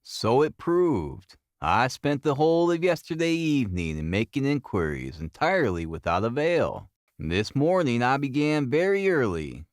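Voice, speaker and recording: A man voicing an earnest, methodical Victorian police detective in an audiobook, giving his report in formal, deliberate storytelling. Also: mid-range voice, dry recording, clean studio sound.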